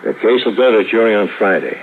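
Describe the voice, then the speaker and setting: A man acts out a gangster enforcer from a 1950s radio thriller. His low voice stays calm and slangy while he threatens, as if it were plain business. The old recording is narrow and muffled, with faint noise. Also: fast, lightly acted. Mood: pressing, controlled. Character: cool, menacing male gangster enforcer, matter-of-fact. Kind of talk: 1950s radio drama acting.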